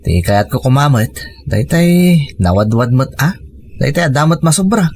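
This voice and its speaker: A man's voice: medium pitch, expressive, normal speed, gravelly, darkish tone. A man playing a hardworking, modest husband and fond father with a Filipino Ilocano accent in a radio drama. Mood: weary.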